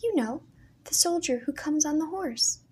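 A woman voicing a timid, gentle young girl who speaks high and breathless. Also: lively intonation, moderate pace. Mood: shy.

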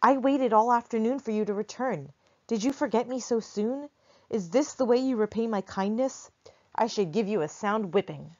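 A woman reading the lines of a huge, gruff bearded man who scolds. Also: mid-range voice, normal speed, a little mellow, close to an everyday voice. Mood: scolding. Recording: dull lo-fi recording.

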